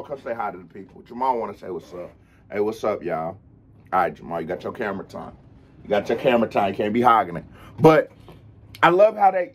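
A young American man giving casual reaction commentary as a host, in an animated, slangy, repetitive way with a husky voice. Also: on the high side. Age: young adult. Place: indoors, room with unfinished basement ceiling.

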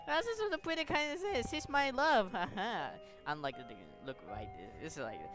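A man casually commenting on a game playthrough and reading aloud in a halting, stumbling way. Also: sits high, low-quality recording. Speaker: gaming streamer reading along.

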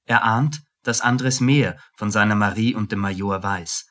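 A man's voice: lowish voice, measured pace, fairly bright tone. A man reading scripted narration as the narrator of a radio play.

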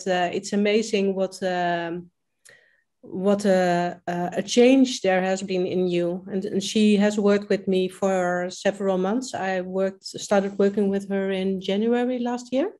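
A woman's voice: mid-range voice, steady tone, measured pace, darkish tone. A Dutch-accented woman in her fifties speaks on a video call from her home kitchen. English is not her first language, so she halts often and restarts her sentences as she talks things through. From Netherlands. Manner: hesitant, many fillers and restarts. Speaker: coaching client.

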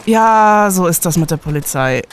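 A man's voice: high-pitched, animated, normal speed, smooth. A young man playing a curt, casual, sporty student detective in an audio drama. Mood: cool, casual. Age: young adult.

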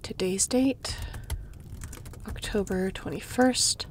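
A woman's voice: medium pitch, takes it slowly, a little hiss or hum, natural voice. A woman playing a grumpy, tough shapeshifter guard who cares begrudgingly, speaking curtly and with weary sarcasm in an intimate audio roleplay. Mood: exasperated, sarcastic. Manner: curt, sarcastic, dry, weary.

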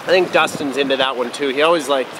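A young man rambling to the camera from a small boat on a winter lake, in an offhand, deadpan, joking way. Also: medium pitch, quick pace. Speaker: snowboarder. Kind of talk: casual rambling vlog to camera. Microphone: wide-angle camera mounted on the boat. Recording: distant mic with loud wind and boat noise.

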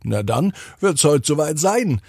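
Friendly husky chimney sweep, voiced by a male storyteller. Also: fairly high pitch, sing-song, a little slow, a little mellow, no room sound, clean studio sound, pitched above the performer's own voice, exaggerated voice. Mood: friendly, promising.

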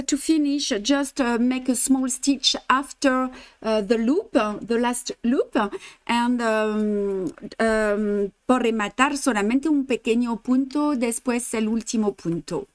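A woman's voice: on the high side, slow pace, no room sound. A woman in her fifties from France with a French accent, teaching embroidery live in a chatty way, laughing often and slipping into English and Spanish. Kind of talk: live-streamed stitching lesson. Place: indoor studio, blue backdrop.

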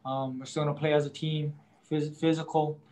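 A young man, a college quarterback, answering post-game press questions in a halting way, leaning on "I mean" and crediting his teammates. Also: fairly high pitch, flat delivery, dark tone, poor audio. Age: young adult. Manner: halting, starts nearly every phrase with "I mean".

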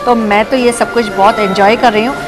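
A woman's voice: on the high side, steady tone, brisk. A woman in her thirties giving a casual food review vlog on a lapel mic in a restaurant dining hall, chatting and mixing in English phrases. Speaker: food vlogger. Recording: restaurant chatter and music behind.

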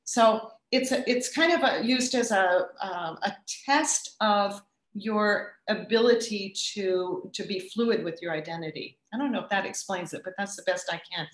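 A middle-aged woman speaking at an average pitch, answering questions in a thoughtful way. She pauses often and restarts her sentences as she works out what she wants to say. Her voice carries a noticeable room echo. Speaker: guest speaker. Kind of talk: answering audience questions at a talk.

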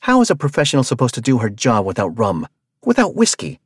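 A man voicing a hot-tempered, foul-mouthed woman mercenary in a read-aloud story, yelling in a raised pitch. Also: big pitch swings, a little fast, dry recording, clean studio sound. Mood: furious. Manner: yelling insults, brash and loud.

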